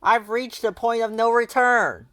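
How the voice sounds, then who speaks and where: A man's voice: very high-pitched, fairly even intonation, a little slow, a bit bright, no room sound, voice pushed up. A man voicing a messy, unbothered young boy from a cartoon storybook, plain-spoken and droll. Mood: exclaiming.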